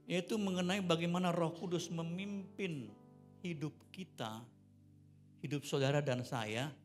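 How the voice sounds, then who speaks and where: An older male pastor in his sixties gives a sermon at a church service with a slightly raised voice. He tells stories in a chatty way and builds to loud, emphatic declarations. A guitar plays softly behind him. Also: on the high side. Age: 60s. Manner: conversational, swelling into loud emphatic preaching.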